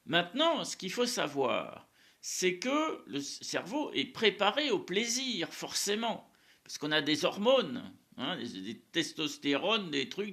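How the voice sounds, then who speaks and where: A man's voice: fairly high pitch, gravelly, bright, forceful tone. A man whose first language is Spanish, giving a casual, rambling religious monologue to camera, often repeating words and tagging his sentences with small fillers. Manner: rambling, repeats words, frequent "hein" and "euh".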